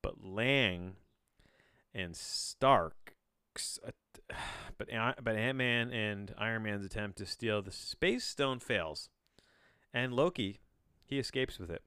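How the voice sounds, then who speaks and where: Low, smooth-voiced man rambling through a reading. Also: animated, slow pace, sharp tone, no echo, studio quality. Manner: rambling, self-interrupting, bemused; casual swearing. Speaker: podcast host. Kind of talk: casual solo podcast reading with rambling asides.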